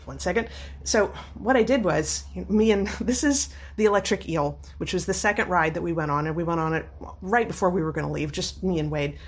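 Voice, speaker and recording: A woman's voice: lowish voice, a little fast, husky. Slightly scratchy-voiced woman chatting. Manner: animated, laughs while telling stories. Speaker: storyteller in a chat. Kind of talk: casual anecdotal chat.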